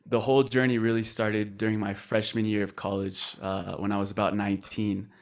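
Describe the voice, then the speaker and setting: A man's voice: on the low side, level tone, a little fast. A man in his twenties from Colombia, a podcast guest telling his story in an earnest, reflective way. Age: 20s. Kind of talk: casual podcast interview.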